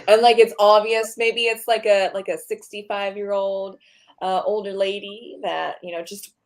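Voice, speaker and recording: A woman's voice: mid-range voice, level tone, measured pace. A woman of thirty chatting casually as a podcast guest, rambling and laughing as she talks. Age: 30s. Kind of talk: casual group chat.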